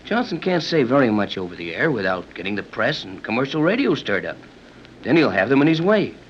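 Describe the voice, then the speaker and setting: Animated intonation, man playing reassuring adventurer, 1930s radio acting, muffled old recording. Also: medium pitch, brisk, lightly acted. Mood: steady, earnest. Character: steady, reassuring male adventurer and formula inventor. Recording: dull 1930s radio recording, light hiss.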